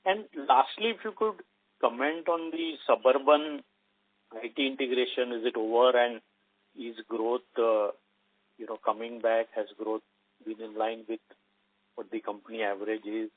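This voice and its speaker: A man's voice: mid-range voice, fairly even intonation, normal speed, no room sound. A man speaking as an analyst putting questions on a conference call. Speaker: financial analyst asking questions. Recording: dry, narrowband phone line.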